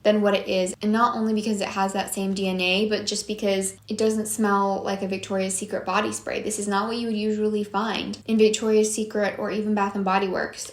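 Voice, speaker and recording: A young woman reviewing perfumes in a casual, gushing vlog, her voice a little hoarse from a long day of filming. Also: mid-range voice. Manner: chatty, unhurried and gushing. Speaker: beauty vlogger. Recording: echoey room.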